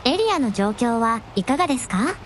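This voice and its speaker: A gentle young dragon princess with a high voice, played by a woman in a radio drama. She speaks in refined, devoted, very courteous phrases. Some noise sits behind the voice. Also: very animated, brisk. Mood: relieved, gentle. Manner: refined, deferential, ladylike honorific speech. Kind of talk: Japanese radio drama acting.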